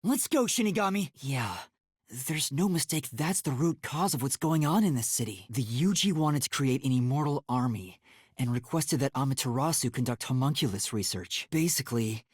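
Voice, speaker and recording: Young male trainee detective for an anime video game: sincere, easily flustered, highly expressive intonation, soft husky breathiness, close dry sound. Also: normal speed, no room sound. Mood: earnest, determined. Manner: earnest, hesitant, prone to startled yelps.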